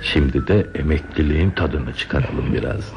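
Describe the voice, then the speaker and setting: An actor playing a gentle, nostalgic older railwayman and husband facing retirement, measured and reflective in radio theatre style. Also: very deep voice. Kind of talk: Turkish radio theatre acting. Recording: dull lo-fi radio recording with music behind.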